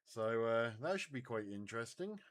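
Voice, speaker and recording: A man hosting a CB radio net and live stream in a casual, halting way. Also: medium pitch, sing-song, heavy background noise.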